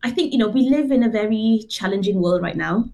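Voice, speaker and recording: A woman's voice: mid-range voice, expressive, brisk, vocal fry, darkish tone. A middle-aged woman from Singapore, a guest telling her story earnestly over a video call, with fillers and restarts. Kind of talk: remote interview.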